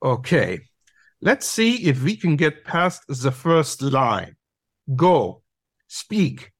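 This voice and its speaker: A man's voice: medium pitch, sing-song, very slow, no room sound, clean studio sound, full character voice. A man playing a pompous, irritable dog who runs an acting class, with a German accent and a bossy, exasperated manner, in an audio play. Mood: brisk, bossy. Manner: barking commands, pompous, exasperated.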